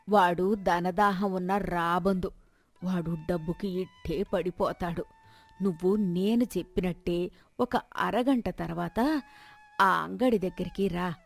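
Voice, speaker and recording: A woman's voice: lowish voice, steady tone, unhurried, vocal fry. A woman voicing a shrewd old village woman in a moral story, coaxing and plaintive, over music. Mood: confident, scheming. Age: older. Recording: background music bed.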